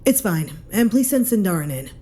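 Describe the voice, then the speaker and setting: An actress playing a calm, firm detective inspector, measured and skeptical, in a science fiction audio drama. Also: female voice, medium pitch, slight background noise, close to an everyday voice. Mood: firm, dry. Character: calm, firm, dry female detective inspector aboard spaceship.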